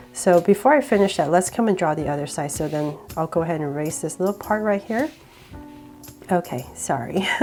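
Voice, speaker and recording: A woman with a cold guiding viewers step by step through a drawing, relaxed and laughing a little at her own slips. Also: deep voice. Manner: relaxed, with small laughs and self-corrections. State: sick, voice a bit off. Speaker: drawing tutorial host.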